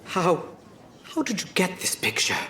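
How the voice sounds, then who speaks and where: An actor playing a guilty, greedy male scientist coming apart, stammering through a halting, manic confession in an audio drama. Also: mid-range voice, wildly swooping pitch, pushed and bright, echoey room, heavily acted. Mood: shaken, confessing.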